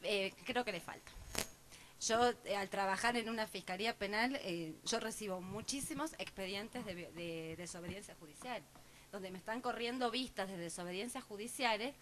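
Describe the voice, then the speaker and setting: A woman's voice: medium pitch, normal speed, a bit bright, slight background noise. A woman, an assistant prosecutor, answering a panel in a formal job interview, explaining at length with many fillers.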